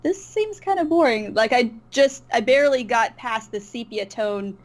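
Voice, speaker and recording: A woman's voice: fairly high pitch, expressive, normal speed. A woman being interviewed, telling personal stories casually in loose, somewhat hesitant wording. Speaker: interview guest, YouTube voice artist. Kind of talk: casual chat, personal anecdote.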